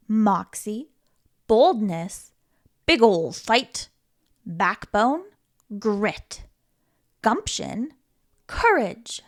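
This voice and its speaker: A woman reading a children's picture book aloud in a gentle, playful, reassuring way, sometimes giggling. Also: high-pitched. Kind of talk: read-aloud storytime.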